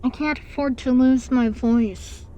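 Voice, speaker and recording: A woman's voice: sits high, steady tone, a little slow, mellow. A woman streaming a game live, hesitant and self-deprecating as she talks to her chat while losing her voice.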